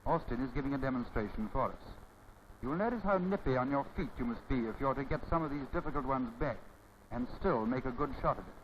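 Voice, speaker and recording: A man narrating a 1930s instructional film from a script, formal and didactic, with measured phrasing. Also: medium pitch, expressive. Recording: scratchy, muffled 1930s film soundtrack.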